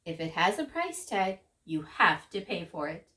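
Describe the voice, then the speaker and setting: A woman's voice: lowish voice, very animated, normal speed, sharp tone, voice pushed down. A woman voicing an insistent, officious male cashier in a children's story.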